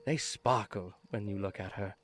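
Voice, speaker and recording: A man's voice: lowish voice, moderate pace, close to an everyday voice. A man playing a gracious, teasing older retired carpenter in a fan audio drama, with a theatrical, effusive and affectionate manner. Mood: knowing, breezy. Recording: music under the voice.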